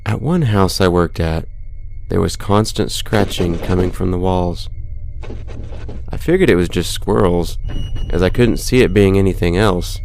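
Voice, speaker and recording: Deep-voiced man reading ghost stories over music. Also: measured pace, gravelly. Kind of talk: scripted narration, reading a first-person scary story. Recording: loud background music. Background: music bed.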